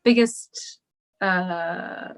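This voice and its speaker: A woman's voice: fairly low pitch, very slow, smooth. Woman guest singer, casual chat, hesitant with many fillers. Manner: hesitant, many ums and restarts.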